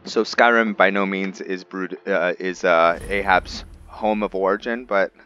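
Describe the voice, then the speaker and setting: A man's voice: low voice, fairly even intonation, measured pace, bright, forceful tone. A man hosting a roleplay game session, casually commentating in a musing, hesitant way. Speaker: gamer, roleplay host. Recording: dull narrow-band mic over game music. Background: faint game music.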